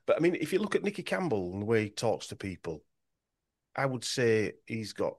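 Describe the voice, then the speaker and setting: A man's voice: mid-range voice, very animated, fairly quick, husky, dry recording, studio quality. A man with a Yorkshire accent talking in a podcast conversation, rambling and restarting his sentences often. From Yorkshire, England. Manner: rambling with frequent restarts and stumbles.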